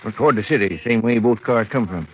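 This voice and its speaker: An actor playing a plain-spoken American farmer, rambling and offhand, in an old radio drama. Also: male voice, on the low side, fast, close to an everyday voice. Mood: folksy, offhand. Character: plain-spoken rural farmer, vague and unconcerned. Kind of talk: 1950s radio drama acting. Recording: dull vintage radio recording.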